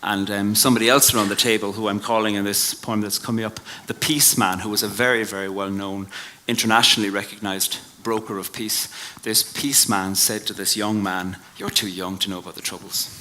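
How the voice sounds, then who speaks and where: An Irish man giving a reflective live talk and reading his poems, in a measured way that drops to a hush at the ends of lines. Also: on the low side, steady tone, slight background noise. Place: live venue before an audience.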